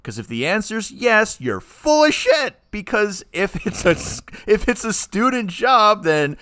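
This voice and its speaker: Guy podcast host, bright clean voice, emphatic and opinionated, rambles a bit, close mic. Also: high-pitched, big pitch swings, measured pace, no room sound. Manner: emphatic, argumentative, digressing. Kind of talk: casual opinionated chat.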